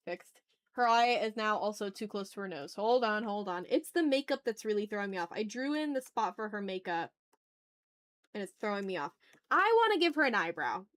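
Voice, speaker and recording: A woman chatting casually as she hosts an art livestream, talking to her viewers in an animated, slangy, teasing way with some swearing. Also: mid-range voice, moderate pace, long pauses. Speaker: livestream host and artist. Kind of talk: casual art livestream chat, reading and answering chat messages.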